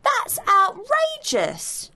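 An inquisitive young girl pupil in an educational audio drama. Her high voice leaps dramatically up and down as she questions and exclaims, easily shocked, at an unhurried pace. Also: wildly swooping pitch, pushed and bright, full character voice. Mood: surprised, indignant.

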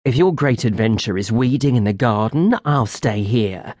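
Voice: medium pitch, normal speed, darkish tone, dry recording, studio quality, lightly acted. A storyteller voicing a vain, witty male fairy who mocks everyone in a theatrical way. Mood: mocking. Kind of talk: audiobook character voice.

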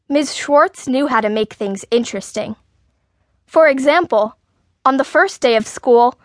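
A woman's voice: fairly high pitch, a bit bright, no room sound, studio quality. A woman reading a children's story in the first person, as an indignant, outspoken sixth-grade girl. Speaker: audiobook narrator.

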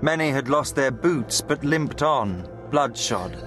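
An actor playing a haunted soldier poet of the First World War, reciting dramatically with deliberate intensity. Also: male voice, mid-range voice, expressive, over music. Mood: weary, grim.

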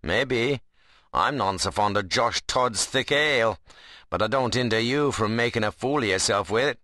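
Man acting a forceful, stern country workman. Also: mid-range voice, normal speed, no room sound, studio quality, lightly acted. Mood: blunt, dry. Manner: blunt, firm, earnest rustic dialect. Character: stern, plain-spoken, principled young workman.

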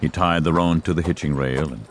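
A man narrating a dramatized western audiobook in a measured storytelling style. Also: very deep voice, steady tone, background noise.

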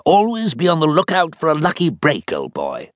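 A man's voice: fairly high pitch, wildly swooping pitch, normal speed, dry recording, voice pushed up. A man voicing a pompous, conniving con man who talks like a plummy army officer. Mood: blustering.

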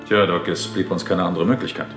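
A very low male voice from an actor plays an unflappable investigator in an audio drama. He is dry and matter-of-fact with a flirtatious edge, over a clearly audible music bed. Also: fairly even intonation, a little fast, lightly acted. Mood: insistent. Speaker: lead actor and first-person narrator. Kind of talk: German audio drama acting and narration.

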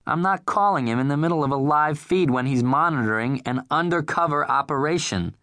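A man's voice: a little high, a little fast, a bit bright, no room sound, clean studio sound, pitched above the performer's own voice. A man voicing an irritable television producer who talks in a testy, exasperated way.

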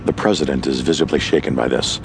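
A man's voice: rumbling low, steady tone, quick pace, background noise. A man narrating a radio play, reading stage directions as dramatic present-tense storytelling. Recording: music bed behind the voice. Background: music.

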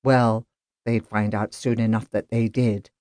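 A woman's voice: extremely low pitch, level tone, unhurried, a little mellow, no echo, clean studio sound, pitched below the performer's own voice. A woman voicing a stubborn, earnest police constable who grumbles about the rich. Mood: grumbling. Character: plain, dogged male police constable.

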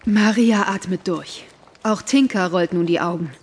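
A woman narrating a radio play in a calm storytelling manner. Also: lowish voice, a little slow, slight background noise.

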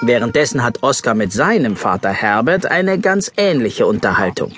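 A man narrating a scripted children's story as an expressive storyteller. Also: mid-range voice, moderate pace, over loud music.

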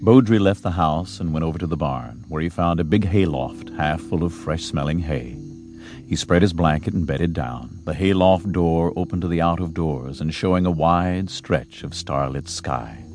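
Male narrator for western audiobook drama: very low voice, measured storytelling, music underneath.

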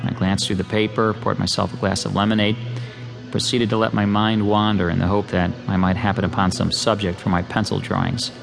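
An actor playing an obsessed, lonely, self-doubting amateur artist in an audio drama, speaking earnestly and intensely. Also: male voice, fairly low pitch, little pitch movement, fairly quick, vocal fry, over music. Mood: brooding, uneasy. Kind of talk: dramatized audio drama, first-person narration and dialogue.

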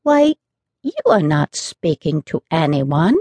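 A woman's voice: low voice, sing-song, takes it slowly, a little mellow, dry recording, exaggerated voice. A woman voicing curious, polite young children in a storybook, with an eager, wondering manner. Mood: surprised.

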